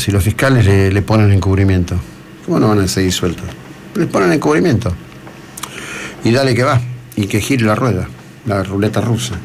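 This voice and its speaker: A man with an Argentine accent hosting live radio, speaking in halting phrases and repeating words for emphasis. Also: lowish voice. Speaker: radio host. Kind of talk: live radio interview and commentary. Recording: noticeable background noise.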